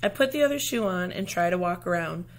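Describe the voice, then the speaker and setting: Female storybook reader, lower-pitched, teacherly, deliberate and expressive delivery for kids. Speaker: teacher reading aloud. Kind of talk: expressive children's picture book read-aloud.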